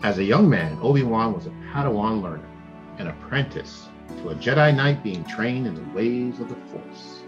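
A man's voice: fairly low pitch, lively intonation, normal speed. Man reading a storytime aloud, muffled sound. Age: middle-aged. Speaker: host and storybook reader. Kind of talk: read-aloud storytime for children. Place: room at home, indoors. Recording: dull lo-fi sound, music behind.

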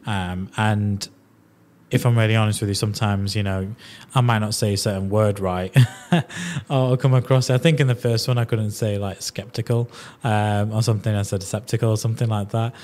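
Male podcast host, deep Yorkshire Leeds accent, chatty and self-deprecating, asks long rambling questions. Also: fairly even intonation, brisk, darkish tone. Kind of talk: casual interview chat.

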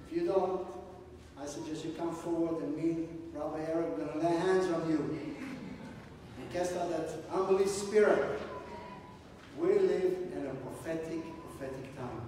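A middle-aged Israeli man preaching in a high, lively voice, often asking questions of the people listening. His voice rings through a large sanctuary and is picked up from far off, with a long echo behind it. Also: slight background noise. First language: Hebrew. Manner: animated, paces the stage, asks the congregation questions. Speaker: guest preacher. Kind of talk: sermon. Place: large sanctuary with stage and pulpit. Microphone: room mic from a far camera. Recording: echoey hall from far off.

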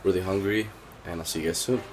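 A man in his twenties chatting casually to a handheld phone camera, relaxed, with lots of fillers. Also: low-pitched, quick pace, heavy background noise. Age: 20s. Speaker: vlogger. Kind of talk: casual daily vlog. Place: car, store and home kitchen. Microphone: handheld phone at arm's length.

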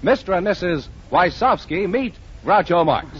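A man's voice: high-pitched, lively intonation, normal speed, a little hiss or hum. A man announcing guests in the style of a 1950 radio show. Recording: muffled 1950 radio recording.

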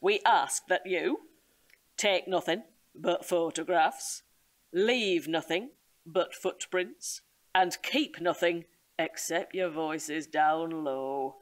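An earnest reserve guide in an audio comedy drama. Her voice is lowish and slow, with solemn, formal emphasis on each instruction. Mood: earnest, cautioning.

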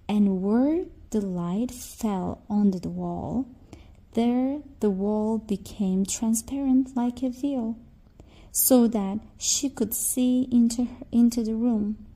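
A woman narrates a fairy tale for children in a fairly high, mellow voice. Her reading is slow and scripted, as a storyteller's. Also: poor audio.

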